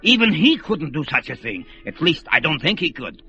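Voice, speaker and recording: A man's voice: on the high side, sing-song, normal speed, sharp tone, highs cut off, full character voice. A man playing an aged, proud sorcerer in an old radio drama, rambling and quavering, with music playing behind. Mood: worried. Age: older. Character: aged male sorcerer, fretful, proud, feuding with his son.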